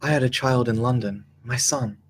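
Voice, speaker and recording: An actor playing a wistful, mysterious traveller, gentle and cryptic, in a script table read with faint noise. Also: male voice, mid-range voice, moderate pace, lightly acted. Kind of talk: live table read of a screenplay.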